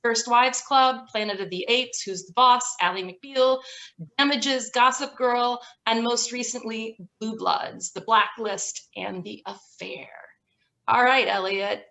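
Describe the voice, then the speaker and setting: A woman as event presenter, higher bright voice, brisk scripted intro, on a video call. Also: fairly even intonation. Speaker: event organizer introducing the guests. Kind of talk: reading a prepared introduction.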